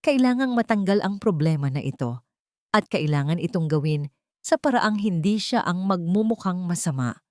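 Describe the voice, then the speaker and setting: Female audiobook narrator, slightly low voice, dramatic scripted storytelling, recorded close and dry. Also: lively intonation, normal speed, a little mellow, no room sound.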